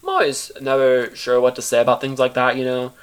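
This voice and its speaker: A man's voice: mid-range voice, steady tone, fairly quick, clean tone. A man performing a first-person audio drama monologue as narrator, in an awkward, earnest storytelling manner. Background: music.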